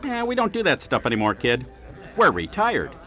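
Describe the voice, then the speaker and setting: A man's voice: on the high side, huge pitch swings, moderate pace, bright, forceful tone, soft background music, heavily acted. A man playing a weary, cranky old retired superhero sidekick in a cartoon game, complaining as he talks. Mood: irritated. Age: older.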